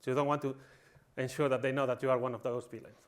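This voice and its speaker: A man's voice: medium pitch, flat delivery, fast talker. Spanish-accented man giving a tongue-in-cheek talk. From Spain. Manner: tongue-in-cheek, mock-villainous. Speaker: conference speaker. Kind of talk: humorous conference talk to a live audience.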